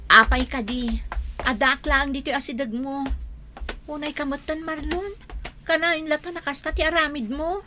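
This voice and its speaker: A woman's voice: high voice, fairly even intonation, moderate pace, sharp tone, slight background noise. A woman playing an exasperated, nagging wife in an Ilocano comedy radio drama. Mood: exasperated scolding.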